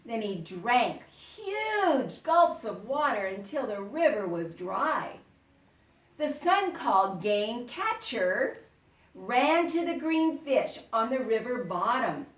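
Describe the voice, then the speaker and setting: Animated woman reading to children, roomy echo. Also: on the high side. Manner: expressive, dramatic, pauses to explain words. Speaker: teacher reading a story aloud to children. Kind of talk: animated children's read-aloud with questions to listeners. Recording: roomy echo, distant microphone.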